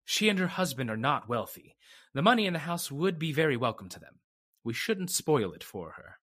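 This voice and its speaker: A man playing a grave, confiding lawyer in an old-time radio drama, speaking formally and deliberately. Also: on the high side, big pitch swings, a bit bright. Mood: businesslike. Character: grave, confiding male lawyer settling an estate.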